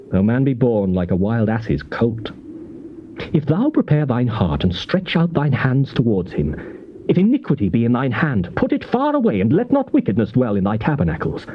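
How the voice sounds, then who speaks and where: An actor playing a stern, righteous elder who rebukes and admonishes in declamatory, rhetorical style for a dramatized scripture reading. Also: male voice, mid-range voice, natural voice. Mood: solemn exhortation. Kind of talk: dramatized scripture reading, classical stage acting. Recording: muffled old cassette tape, faint noise.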